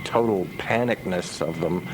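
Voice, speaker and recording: A low-pitched man talks casually as an interviewed musician. He is anecdotal and halting, pausing to restart his thoughts. There is some noise behind him on the radio aircheck. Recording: noisy radio aircheck recording.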